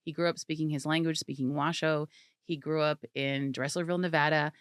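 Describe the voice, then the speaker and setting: Low-voiced chatty woman comedian. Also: brisk, clear, resonant tone, no echo, clean studio sound. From California Bay Area. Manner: animated, chatty, laughs often. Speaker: comedian guest. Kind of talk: casual interview chat.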